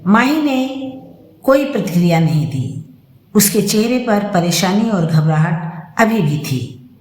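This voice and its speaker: A woman reading a scripted moral story as its storyteller, in a measured, deliberate way. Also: mid-range voice, animated, darkish tone. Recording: roomy, echoing sound.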